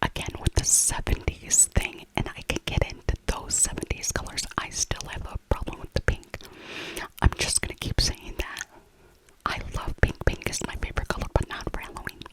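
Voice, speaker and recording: A middle-aged woman speaking in a soft unvoiced whisper, rambling casually through an ASMR shopping voiceover, musing and repeating her phrases. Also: normal speed, rough recording. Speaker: ASMR video creator.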